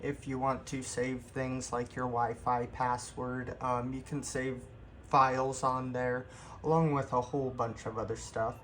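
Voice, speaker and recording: A man hosting a tech tutorial, calmly explaining each step of a computer walkthrough with occasional ums. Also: medium pitch, slight background noise. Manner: unhurried step-by-step explaining with fillers. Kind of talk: screen-recorded how-to walkthrough.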